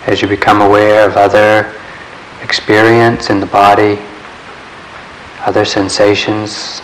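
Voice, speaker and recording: A man leading a guided meditation in a calm, measured way, leaving long pauses between short phrases. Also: on the low side, rough recording. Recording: steady background hiss.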